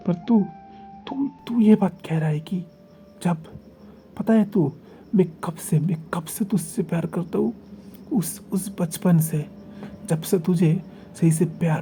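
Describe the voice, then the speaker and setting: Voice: mid-range voice, mellow, slight background noise, close to an everyday voice. A storyteller voicing a young man who pleads with and then confronts his partner. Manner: coaxing, then hurt and intense. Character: young man, doting then possessive lover.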